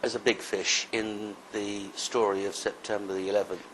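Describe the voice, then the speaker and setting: A man interviewing a guest, asking measured, prompting questions. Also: lowish voice, little pitch movement, fairly bright tone, slight background noise, low-quality recording.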